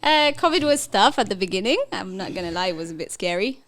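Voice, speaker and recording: A woman's voice: mid-range voice, expressive, fast talker, a bit bright. A young to thirties woman from Ethiopia, chatting casually as an interview guest and often breaking into laughter. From Ethiopia, later the Netherlands. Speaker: podcast guest.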